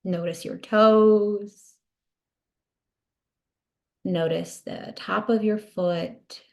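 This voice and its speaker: A woman leading a guided body scan meditation in a soothing, gentle, unhurried manner. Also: medium pitch, steady tone, gravelly.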